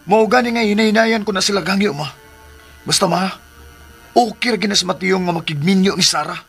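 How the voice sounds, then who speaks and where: Radio drama young man: high voice, pleading, evasive, wheedling delivery. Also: a little fast, vocal fry, fairly bright tone, soft background music. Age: young adult. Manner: wheedling, insistent. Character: pleading, evasive, self-serving young adult son.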